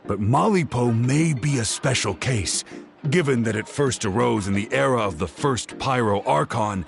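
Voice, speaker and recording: A gravelly, anxious scholar. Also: male voice, mid-range voice, expressive, normal speed. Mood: worried. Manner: hesitant, earnest. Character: earnest, anxious male scholar. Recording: game audio with music behind.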